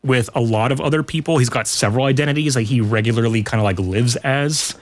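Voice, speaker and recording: Guy on a podcast, regular pitch, chatting loose and informal, goes off on tangents. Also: fast. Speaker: podcast guest. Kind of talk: casual pop culture chat.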